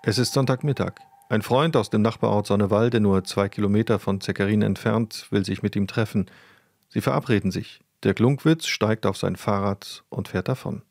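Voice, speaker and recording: A man's voice: fairly low pitch, moderate pace, vocal fry, darkish tone, no room sound, clean studio sound. A man reading scripted documentary narration about a crime case. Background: music.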